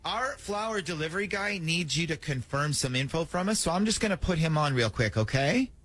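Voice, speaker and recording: Male morning radio host, lively and slightly high, conversational prank-call banter. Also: a little fast.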